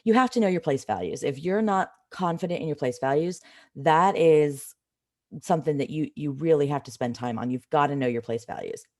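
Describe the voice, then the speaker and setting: A woman teaches in a low voice that is slightly rough from a cold. She explains things informally, as in a live online class, talking straight to her students. She puts clear emphasis on the points that matter. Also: a little fast, a little mellow. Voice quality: slightly raspy. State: has a cold. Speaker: math teacher.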